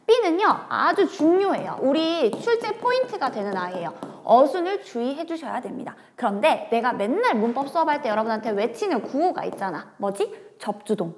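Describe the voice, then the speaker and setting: A woman's voice: high voice, sing-song, normal speed, hardly any pauses, big-room sound, noisy background. A woman teaching a grammar lecture, talking straight to her students and moving from casual talk into polite speech.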